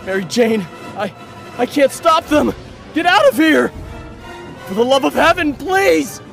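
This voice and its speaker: An earnest young superhero acted by a man in a comic dub. His high voice is very animated. He is hesitant and anxious, stammering over his words. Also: unhurried, vocal fry, faint music behind, exaggerated voice. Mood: panicked, urgent. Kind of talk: amateur comic dub acting.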